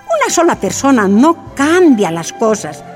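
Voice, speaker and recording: A woman's voice: fairly high pitch, very animated, a little slow, soft background music. An actress playing an impassioned woman activist rallying the people in a radio drama.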